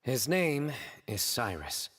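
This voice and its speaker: An actor playing a stoic, serious young investigator in a video game, deadpan, formal and measured. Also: male voice, mid-range voice, breathy edge, big-room sound, heavily acted. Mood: serious, calm.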